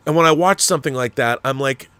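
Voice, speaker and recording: A man with a medium-pitched voice, recorded dry with little room sound. He talks off the cuff like a show host giving strong opinions, rambling and doubling back on words, leaning hard on his points with blunt language. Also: fast talker, bright, forceful tone, clean tone, dry recording. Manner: rambling, emphatic, repeats words, swears freely. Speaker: host of a film and TV commentary show. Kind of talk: casual opinionated commentary, answering viewer messages.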